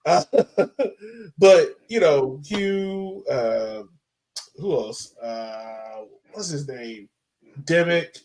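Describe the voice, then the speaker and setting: A man co-hosting a podcast, chatting casually about sport in slangy, animated talk. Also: fairly high pitch, extremely slow, low-quality recording. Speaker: podcast co-host.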